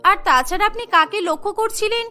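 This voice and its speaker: Woman acting a high-voiced guarded young woman. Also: expressive, fast talker, sharp tone, soft background music, full character voice. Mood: innocent, defensive. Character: guarded, sly young woman living alone. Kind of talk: cartoon character dialogue.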